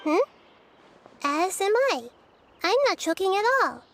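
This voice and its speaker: A woman voicing a gentle, earnest childlike nature goddess, calm and thoughtful with a soft girlish voice, in an anime-style video game. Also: very high voice, unhurried, vocal fry, a little hiss or hum, heavily acted. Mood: calm, curious.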